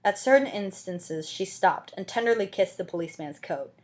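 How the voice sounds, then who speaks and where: A woman's voice: mid-range voice, lively intonation, moderate pace. A woman reading a classic novel aloud as an amateur audiobook narrator, with dramatic scripted delivery.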